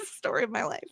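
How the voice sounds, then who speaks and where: A woman from New York State with an American accent, co-hosting a podcast in casual, animated chat and laughing often. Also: no echo, rough recording. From New York State, USA. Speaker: podcast co-host.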